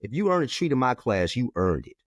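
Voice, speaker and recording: A man's voice: sing-song, brisk, dry recording. A man, a math educator talking firmly and emphatically in an interview. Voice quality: low voice. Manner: firm, emphatic, repeats "we gotta". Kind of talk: conversational interview.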